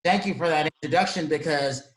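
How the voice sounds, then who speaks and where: A man's voice: a little high, fast talker. A man hosting a show over a video call, easygoing and steering the conversation. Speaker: host. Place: home room. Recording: echoey room sound.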